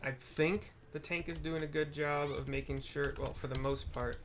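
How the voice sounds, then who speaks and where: A man's voice: on the high side, fairly even intonation, normal speed, fairly bright tone, a little hiss or hum. A man narrating his video game playthrough in a casual, informal, descriptive way. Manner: laid-back, descriptive, informal. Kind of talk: casual gameplay commentary. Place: indoors at a computer.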